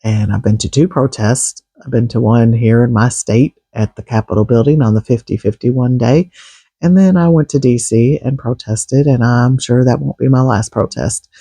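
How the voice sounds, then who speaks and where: A man with a husky, froggy voice from a cold, speaking in a mellow, dark tone. He talks earnestly to his viewers, restarting his sentences often. The sound is dry and close. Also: mid-range voice, moderate pace, no room sound. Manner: earnest, with frequent restarts and coughs. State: getting over a cold, froggy voice. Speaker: vlogger. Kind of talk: casual political commentary to camera.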